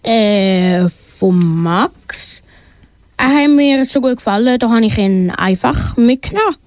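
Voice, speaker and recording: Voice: mid-range voice, expressive, takes it slowly, darkish tone, dry recording. A young female performer playing an earnest little mouse boy in a children's audio play. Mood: sheepish.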